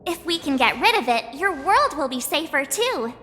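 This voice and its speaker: A woman's voice: high voice, sing-song, normal speed, bright, forceful tone, in a large hall, a little hiss or hum, exaggerated voice. A woman voicing a bubbly, eager young girl space traveler with a perky manner in a video game. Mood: earnest explaining. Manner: perky, exclaiming. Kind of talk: English video game dub acting.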